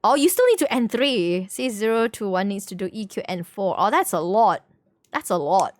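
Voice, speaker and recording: A woman's voice: mid-range voice, animated, normal speed, no echo. A woman livestreamer chatting casually with viewers in a rambling, slangy way, often repeating her phrases. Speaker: game livestreamer. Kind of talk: casual stream chat with viewers.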